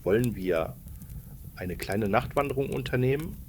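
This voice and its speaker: A man's voice: mid-range voice, expressive, moderate pace, background noise. A man narrating a scripted bedtime story for children, explaining things and addressing them directly.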